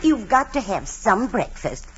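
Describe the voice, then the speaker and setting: Motherly scatterbrained landlady, swoopy animated voice, old radio comedy, muffled hissy recording. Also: medium pitch, a little slow. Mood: proud, insistent. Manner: fussy, motherly chatter. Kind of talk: 1940s radio comedy acting.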